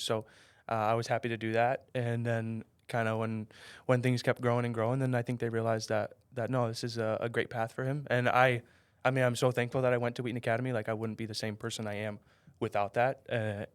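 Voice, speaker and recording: Smooth-voiced young man, hesitant. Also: mid-range voice, little pitch movement, quick pace, dry recording. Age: 20s. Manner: soft-spoken, hedging with many fillers. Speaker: real estate investor guest. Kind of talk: casual interview answers.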